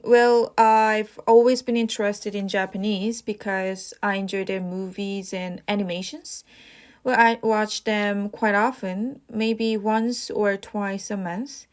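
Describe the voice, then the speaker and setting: A young woman speaking English, reading out speaking-test questions and answering each one. She talks slowly and evenly, at a middling pitch, with rehearsed fillers like "well" and "you know.". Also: darkish tone, clean tone. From South Korea. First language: Korean. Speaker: IELTS practice test speaker. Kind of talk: model answers for a speaking exam.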